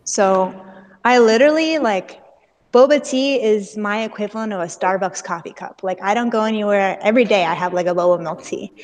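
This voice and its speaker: A young woman with a Californian accent speaks as a guest presenter to school classes. Her delivery is informal and enthusiastic, with youthful, casual phrasing, at a medium pitch for a woman. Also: a little fast. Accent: American, Californian. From California, United States. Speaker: guest speaker, explorer and storyteller. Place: live video call with screen sharing.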